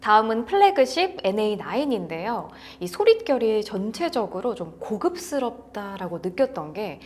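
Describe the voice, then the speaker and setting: A woman's voice: mid-range voice, very animated, normal speed, darkish tone. A woman co-hosting a conversational product review, describing her impressions. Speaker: co-host of an audio gear review show.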